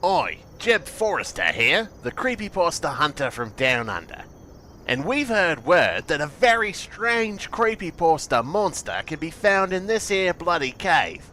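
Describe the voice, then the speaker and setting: A brash Aussie wildlife adventurer, hunting monsters over faint insect noise. His voice is high for a man and swings around with lively, excitable showmanship. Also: measured pace, full character voice. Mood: brash, eager. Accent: Australian. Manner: hushed-excitement wildlife presenter, slangy. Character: brash Australian male monster hunter, nature-show adventurer. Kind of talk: parody wildlife documentary narration.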